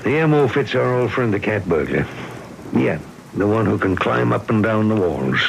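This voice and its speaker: An actor playing a businesslike, matter-of-fact police lieutenant in a radio drama. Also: male voice, fairly low pitch, sing-song, moderate pace, creaky. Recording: slightly noisy old radio broadcast.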